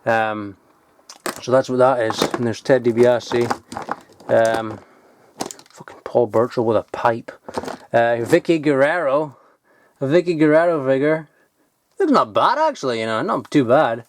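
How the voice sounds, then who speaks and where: A man, chatting casually and excitedly while digging through old toys, rambling and swearing now and then. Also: mid-range voice, a little slow, pauses often, noisy background. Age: 20s. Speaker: toy collector vlogger. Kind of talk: casual unboxing chat.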